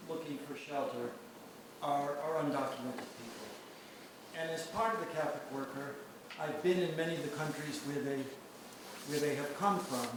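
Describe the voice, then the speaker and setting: A man in the audience earnestly asking an impassioned question at a lecture. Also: fairly high pitch. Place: lecture hall. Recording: very echoey hall with clear noise.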